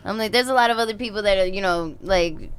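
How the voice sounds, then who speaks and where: A woman's voice: medium pitch, fast, smooth. A woman with an American accent chatting casually as a podcast guest, emphatic, repeating phrases for effect and swearing freely. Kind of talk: casual animated chat.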